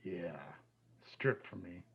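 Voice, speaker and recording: A man playing a crude, controlling, contemptuous husband in a table read, with a husky voice. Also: deep voice, slow, heavily acted. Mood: low, demanding. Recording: dull, muffled lo-fi sound.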